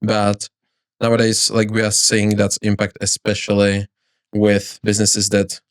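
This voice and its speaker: A man's voice: fairly low pitch, monotone, measured pace, no echo, clean studio sound. A man with a Czech accent, talking casually and explaining things as a guest in a roundtable. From Czech Republic. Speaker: podcast guest, startup founder.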